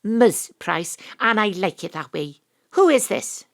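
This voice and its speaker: An actress playing a wry, world-weary Welsh private investigator, narrating and talking in an audio drama. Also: female voice, medium pitch, sing-song, unhurried, a bit bright. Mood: brisk. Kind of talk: first-person audio drama narration and dialogue.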